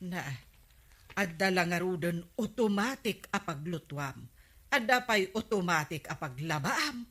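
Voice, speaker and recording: Slow-drawling, grumbling Ilocano housewife. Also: female voice, lowish voice, animated, fairly bright tone. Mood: envious. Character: gossipy, grumbling village housewife, tired of chores. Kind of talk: broadcast radio comedy drama acting.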